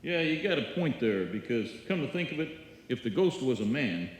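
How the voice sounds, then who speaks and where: A man playing a gloomy, deadpan maestro who wisecracks in a live old-time radio comedy. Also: medium pitch, normal speed, lightly acted. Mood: sardonic. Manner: dry, deadpan punchlines. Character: gloomy, sardonic male maestro neighbour, wisecracking. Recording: echoey hall, live stage performance.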